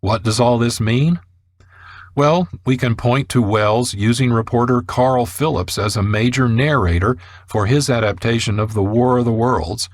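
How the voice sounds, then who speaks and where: A man's voice: on the low side, no echo, studio quality. A man reading scripted narration as a radio producer and host.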